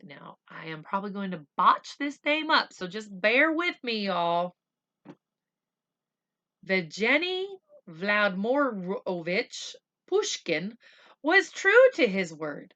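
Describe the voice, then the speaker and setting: Female storybook reader for children, unhurried and bright, expressive phrasing. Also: medium pitch. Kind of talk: read-aloud storytelling with simple asides.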